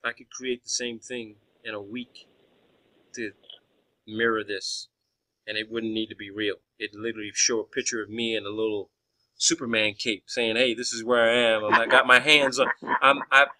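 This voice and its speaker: A man's voice: fairly low pitch, little pitch movement, normal speed, sharp tone, low-quality recording. A man arguing in a phone conversation as a caller, pressing his points insistently and often restarting his phrases. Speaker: caller questioning a space agency official.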